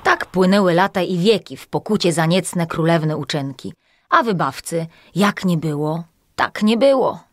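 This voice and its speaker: A woman's voice: fairly low pitch, expressive, a little slow, dry recording. A woman reading scripted fairy-tale narration.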